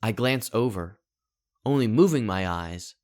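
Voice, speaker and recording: Slow, low-voiced man narrating a story. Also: expressive, darkish tone. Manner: deliberate, dramatic pauses between short phrases. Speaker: author reading his own short story. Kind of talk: scripted first-person story narration.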